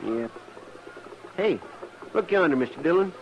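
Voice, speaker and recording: High-voiced folksy deputy. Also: male voice, expressive, a little slow, lo-fi sound. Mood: watchful. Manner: deferential, folksy. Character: loyal, folksy deputy to a frontier marshal. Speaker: actor in a western radio drama. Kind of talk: old-time radio western acting. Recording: noisy old radio recording.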